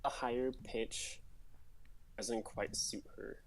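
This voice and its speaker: A woman's voice: very deep voice, fairly even intonation, slow pace, slight background noise, poor audio. A woman commenting casually on a game as she streams it. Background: game music.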